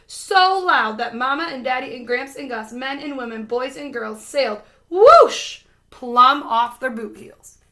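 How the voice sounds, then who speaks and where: High, bright woman reading a storybook. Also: expressive, unhurried. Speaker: storybook reader. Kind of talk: expressive children's read-aloud narration.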